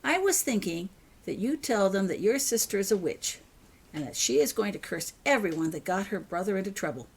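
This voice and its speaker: A woman's voice: medium pitch, expressive, a little fast, slightly rough. Young daughter role for an audiobook, read by a woman: clever, composed, insistent, never gives up. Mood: scheming. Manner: insistent, persistent.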